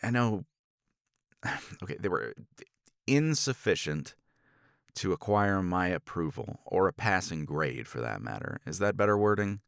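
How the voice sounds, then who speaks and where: Casting: male actor as blunt, proud professor for romance audio drama; very low, widely varied pitch, sardonic and halting. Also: very animated, vocal fry, fairly bright tone, smooth, dry recording, clean studio sound, full character voice. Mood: exasperated, defensive. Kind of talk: romance ASMR roleplay drama.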